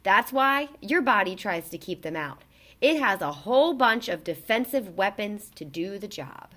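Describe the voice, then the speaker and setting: A woman reading a children's picture book aloud in an expressive, emphatic storytelling delivery. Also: mid-range voice, normal speed.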